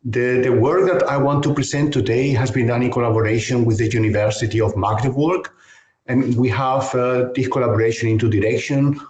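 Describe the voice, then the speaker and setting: A man from Spain with a Spanish accent, a university professor giving a technical webinar lecture in a measured, careful way with frequent hesitations. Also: medium pitch, fairly even intonation, darkish tone. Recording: echoey online call audio.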